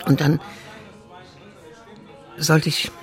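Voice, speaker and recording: A woman's voice: low voice, level tone, drawn-out words, soft background music. A woman playing a candid widowed grandmother in a naturalistic radio play, speaking frankly and a little hesitantly. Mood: candid, chatty. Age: 60s or older. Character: candid widowed grandmother at senior speed dating.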